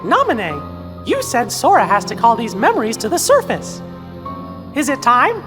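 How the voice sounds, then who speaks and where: A man's voice: very high-pitched, huge pitch swings, a little slow, full character voice. A man voicing a kindly little male cricket who narrates and reassures in a video game. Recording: game audio over loud music.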